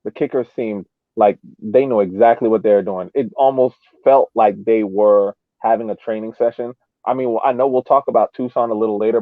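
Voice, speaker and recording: A man's voice: on the low side, no echo. A man on a sports podcast panel, chatting and giving casual game analysis over a narrow, muffled connection. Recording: muffled narrow-band radio-like sound.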